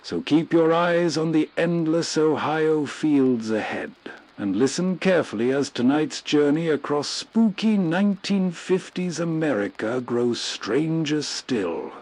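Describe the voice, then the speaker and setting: A man's voice: a little high, expressive, a little slow, darkish tone. A man hosting with scripted, spooky narration. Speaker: host.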